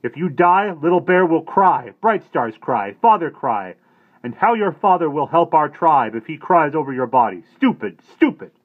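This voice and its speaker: A man voicing a fierce, proud male warrior who scolds loudly in broken, clipped phrases. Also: on the high side, expressive, a little slow, voice pushed up. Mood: scolding. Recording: tinny phone-like audio.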